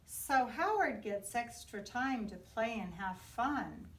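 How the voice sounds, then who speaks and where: A woman reading a children's picture book aloud with expressive, deliberate storytelling for young listeners. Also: on the high side. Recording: echoey room, distant from mic.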